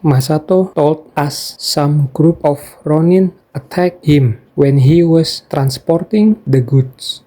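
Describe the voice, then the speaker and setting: Guy recapping game lore, mellow voice a bit on the high side, non-native english phrasing. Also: poor audio. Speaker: gaming video narrator. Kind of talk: game story recap narration.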